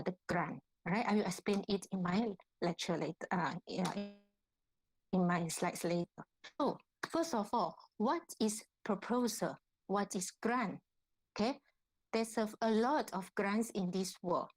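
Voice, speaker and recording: A woman with a Malaysian accent lecturing at an online workshop as an academic, in a halting way, often repeating and rephrasing herself. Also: mid-range voice, poor audio. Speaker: academic researcher, guest lecturer.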